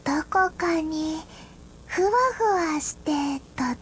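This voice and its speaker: Very high, slow, dreamy lion girl. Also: slight background noise. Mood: dreamy, content. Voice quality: soft, girlish. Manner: drowsy, unhurried, polite, airy. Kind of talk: anime game character lines.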